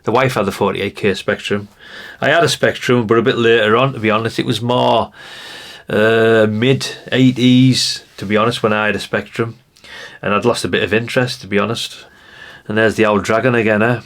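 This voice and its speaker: A man's voice: on the low side, fairly even intonation, moderate pace, fairly bright tone. A man, giving casual, nostalgic commentary in a rambling, chatty way, musing aloud to the viewer. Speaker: retro collector presenting a video.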